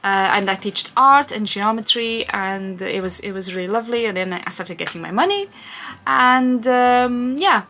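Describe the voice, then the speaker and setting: A woman's voice: medium pitch, expressive, normal speed, sharp tone. A woman in her thirties from Portugal, speaking English with a Portuguese accent, chatting through a casual Q&A with many fillers and restarts. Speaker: vlogger. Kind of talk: casual Q&A chat.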